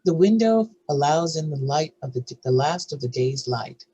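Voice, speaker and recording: Husky, highish-voiced male screenplay narrator. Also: expressive, moderate pace, mellow, dull audio. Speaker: narrator reading stage directions.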